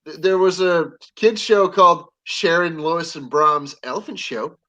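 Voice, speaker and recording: A woman's voice: fairly low pitch, measured pace, fairly bright tone. Joking talkative woman in her forties. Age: 40s. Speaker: live-stream guest. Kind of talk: casual chat.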